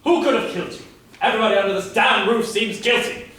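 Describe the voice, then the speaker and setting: An actor playing a probing, easily exasperated police inspector, speaking with theatrical pauses in a staged period murder mystery. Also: male voice, high voice, pushed and bright. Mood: puzzled, exasperated. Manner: theatrical, deliberate, old-fashioned phrasing with dramatic pauses. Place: live staged reading in an echoey room. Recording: echoey room, distant mic, faint noise.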